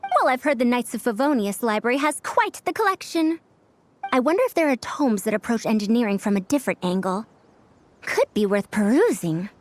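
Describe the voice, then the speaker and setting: Lady doing a proud scholar woman in a game, kinda formal, lively delivery. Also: on the high side, brisk, a little hiss or hum, natural voice. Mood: appraising. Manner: formal, appraising. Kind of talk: video game cutscene acting.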